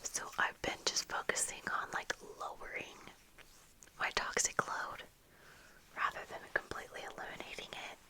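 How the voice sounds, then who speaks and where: A young woman whispering a casual, rambling ASMR get-ready chat, breathy and unhurried, close to the microphone. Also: bright, forceful tone, low-quality recording. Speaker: ASMR video creator. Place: small indoor room with bookshelves. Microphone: close fluffy-covered ASMR microphone, earphones worn.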